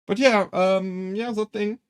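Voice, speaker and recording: A man's voice: high-pitched, steady tone, slow pace, clear, resonant tone, no echo, studio quality. A young man with a French accent chatting casually on a live stream, hesitant with many fillers and restarts. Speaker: VTuber streamer.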